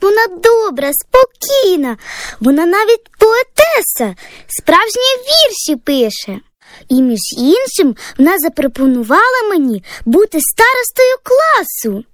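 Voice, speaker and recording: A woman voicing an excited, proud young schoolgirl in a children's radio story. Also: high-pitched, measured pace, no echo, clean studio sound.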